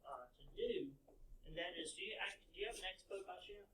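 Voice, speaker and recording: A man's voice: high voice, fairly quick, fairly bright tone, a little hiss or hum. A man on a math team explaining a problem to his coach, hesitant with lots of fillers. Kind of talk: casual explanation. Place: classroom. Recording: echoey classroom from far off.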